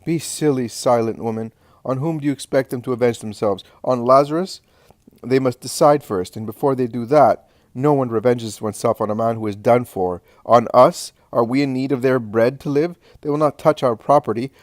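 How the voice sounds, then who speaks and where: A man's voice: mid-range voice, normal speed, no echo, studio quality, natural voice. A man voicing a fierce, defiant woman of imperious faith, commanding and thundering, in a dramatic story reading. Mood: scornful, proud.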